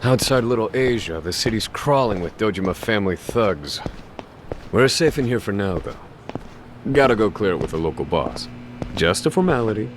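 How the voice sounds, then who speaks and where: Guy playing a wry smooth-talking fixer in a game, low voice, really lively delivery, glib and casual. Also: normal speed, background noise, close to an everyday voice. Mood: breezy, wry. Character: breezy, wry male real-estate fixer and local guide. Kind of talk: video game dub dialogue.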